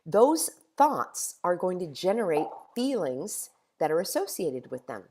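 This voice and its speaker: Hoarse woman host, lower voice. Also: sing-song, unhurried, darkish tone, slight background noise. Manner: repetitive rhetorical questions, emphatic. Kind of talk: motivational monologue.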